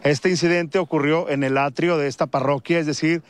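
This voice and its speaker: A man's voice: fairly high pitch, steady tone, a little fast. A middle-aged man from Mexico, reporting live from a street, speaking off the cuff with fillers and restarts. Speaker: field reporter. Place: outdoors on a residential street. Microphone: handheld mic.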